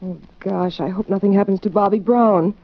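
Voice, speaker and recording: A woman's voice: medium pitch, steady tone, moderate pace, close to an everyday voice. An actress playing an anxious young woman, the detective's companion, in 1940s radio style. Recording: dull 1940s radio recording.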